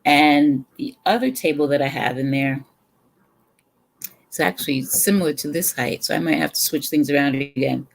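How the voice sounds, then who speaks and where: A woman's voice: deep voice, normal speed, creaky. A middle-aged woman from the Bronx with a New York accent, chatting casually with viewers on a home livestream and rambling between sips of her drink. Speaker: livestream host. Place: home room with brick wall.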